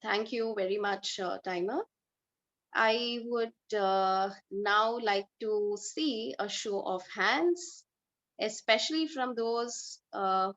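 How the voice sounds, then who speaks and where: A woman running a speaking segment at an online club meeting, in a measured way with many filler uhs. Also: medium pitch, a bit bright. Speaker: table topics master. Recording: dull lo-fi online call audio.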